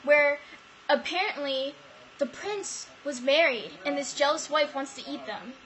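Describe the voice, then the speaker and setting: A young woman casually retelling fairy tales in a comic, animated and slangy way, as a co-host of an amateur video. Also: sits high, unhurried, low-quality recording. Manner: animated slangy storytelling with mock quotes. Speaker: vlog co-host.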